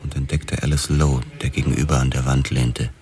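An actor in a radio drama narrating in the first person as a detective, in a brooding, measured hardboiled style. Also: male voice, rumbling low, level tone, a crowd in the background.

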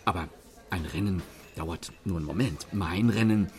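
An actor playing a thrill-seeking gentleman thief in a radio play, savouring his words with theatrical pauses. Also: low-pitched. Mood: relishing, intense.

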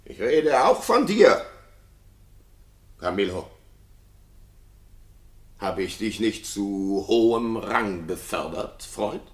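A man's voice: lowish voice, very animated, slow pace, pauses often. An actor playing a jealous, suspicious king in a classical radio play, declaiming theatrical verse with intensity. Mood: bitter, accusing. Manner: intense, declamatory, swinging from hushed to frenzied. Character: jealous, suspicious king raging at his supposedly unfaithful wife. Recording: roomy studio reverb.

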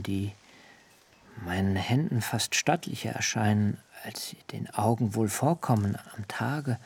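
Jacob in a radio play, young herdsman in love, gravelly voice, talks real slow, hushed and solemn. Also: on the low side, exaggerated voice. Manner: slow, solemn and intimate, sometimes dropping to a whisper. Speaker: radio drama actor. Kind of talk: literary radio play acting.

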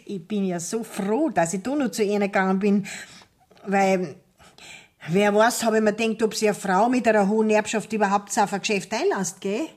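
An actress playing a talkative, aggrieved middle-aged sawmill widow in a radio play, speaking Bavarian dialect in a confiding, folksy way. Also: female voice, medium pitch, moderate pace, darkish tone, close to an everyday voice. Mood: eager, confiding.

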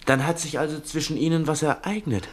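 A man's voice: fairly high pitch, brisk, close to an everyday voice. An actor playing a cheeky, impatient young man who presses his professor, in a radio play. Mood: probing. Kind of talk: German radio play acting, 1960.

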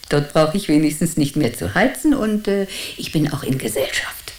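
An eccentric old village grandmother with a fairly low voice. She talks in a wry, chatty way, dropping in little hesitant interjections. It is radio drama for a story audience. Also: lively intonation, a little slow, a little mellow. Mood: wry, defiant. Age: older.

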